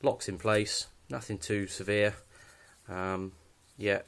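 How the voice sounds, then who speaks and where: A British man with a fairly low voice talks through his outdoor gear off the cuff. He is understated and matter-of-fact, a little hesitant as he goes, like an informal hobby video presenter. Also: flat delivery, slow, a bit bright, smooth. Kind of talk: casual unscripted gear walkthrough.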